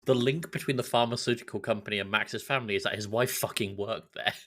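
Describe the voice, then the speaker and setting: A man's voice: on the low side, quick pace, fairly bright tone. A British man chatting as a podcast host, scornful and sweary, acting out mocking quotes. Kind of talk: casual chat.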